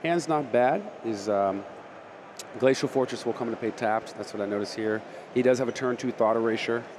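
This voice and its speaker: A man giving analytic color commentary on card game decks, in a quick, explanatory way. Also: medium pitch, background noise. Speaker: esports analyst.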